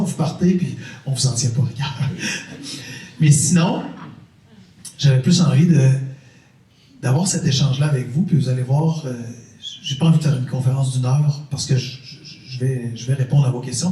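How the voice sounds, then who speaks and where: A man's voice: medium pitch, mellow. A middle-aged man with a Québécois French accent, giving an informal talk to a university audience, chatty and repeating phrases for emphasis. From Quebec. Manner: conversational, repeats phrases for emphasis, jokes with the crowd. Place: university lecture hall. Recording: echoey hall, mic at a distance.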